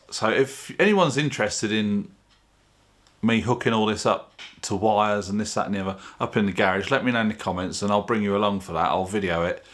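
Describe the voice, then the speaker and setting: A man with a fairly low voice hosts a homemade video. He explains things casually, in an informal and plain-spoken manner, as if chatting straight to the viewer. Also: a bit bright. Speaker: video channel host.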